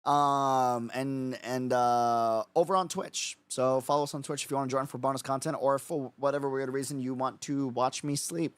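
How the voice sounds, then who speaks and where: A man streaming casually late at night, his voice raspy and hoarse from no sleep, rambling and repeating himself with lots of fillers. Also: medium pitch, steady tone, normal speed, hardly any pauses, no room sound. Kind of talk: casual livestream chat.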